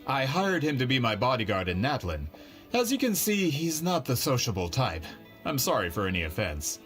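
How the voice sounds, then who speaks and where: An actor playing a polite, eager book publisher in a game, courteous and persuasive. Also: male voice, medium pitch, huge pitch swings, normal speed, fairly bright tone, faint music behind. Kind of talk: game cutscene voice acting.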